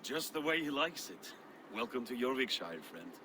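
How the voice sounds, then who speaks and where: An actor playing a wry, suspicious Viking warrior in a video game, with a probing manner. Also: male voice, mid-range voice, sing-song, moderate pace, sharp tone, slight background noise.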